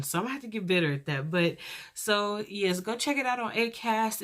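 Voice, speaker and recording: A young woman hosting her own podcast with a soft voice, talking casually and earnestly, rambling and pausing as she goes. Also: mid-range voice. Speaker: podcast host.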